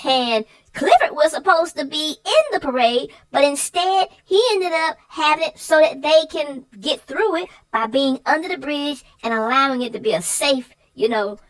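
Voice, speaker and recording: A woman's voice: high voice, moderate pace, few pauses, rough recording. A woman chatting casually and emphatically as a guest co-host on a children's book show. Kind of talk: casual chat about a picture book.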